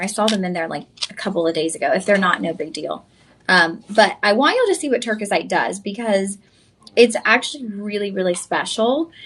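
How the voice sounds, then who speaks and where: A woman's voice: medium pitch, fairly bright tone. A young woman, hosting a live shopping stream, effusive and chatty, calling viewers y'all. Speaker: shopping channel host. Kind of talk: live-stream sales pitch.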